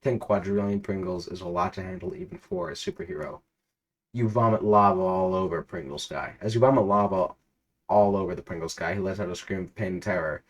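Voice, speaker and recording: Guy with a lowish voice reading out some silly adventure story, real dramatic about it. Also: lively intonation, fairly quick, gravelly, poor audio. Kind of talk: dramatic read-aloud of absurd interactive fiction.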